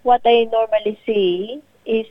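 A woman's voice: fairly high pitch, fairly even intonation, measured pace. Chatty Filipino woman on a tinny line. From Philippines. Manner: chatty, laughs often, many fillers. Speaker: interviewee. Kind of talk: casual interview.

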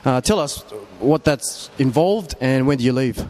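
A man's voice: medium pitch, lively intonation, measured pace, a little mellow. A man hosting a radio sports interview, putting prepared questions to a guest. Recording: faint background noise.

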